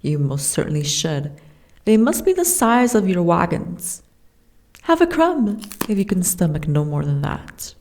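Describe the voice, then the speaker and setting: Casting: woman to play young giantess princess for fantasy ASMR. Genteel, frail, wistful; low-pitched, unhurried, softly delivered. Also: sing-song. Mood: kindly, shy. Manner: formal, archaic, genteel phrasing.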